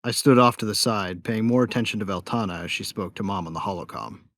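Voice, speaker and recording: A man's voice: on the low side, quick pace, a bit bright, no room sound, clean studio sound. A man playing a cocky, wry bounty hunter who narrates his own story in an audio drama. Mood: relaxed. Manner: wry, self-satisfied. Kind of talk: first-person dramatic narration.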